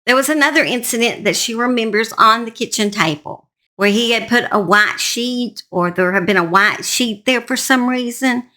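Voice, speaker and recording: A woman's voice: a little high, moderate pace, sharp tone. A woman host narrating a true crime story in an informal, plain-spoken, conversational way, speaking straight to her listeners.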